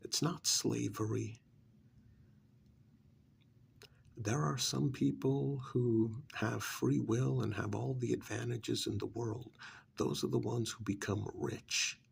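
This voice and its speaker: A man playing a smug, pampered vampire's familiar recruiter in an ASMR role-play, cajoling and dismissive, with a gravelly voice that often drops to a breathy near-whisper. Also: medium pitch, steady tone, heavily acted. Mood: defensive, persuasive. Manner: conversational, cajoling, with rhetorical questions and pauses.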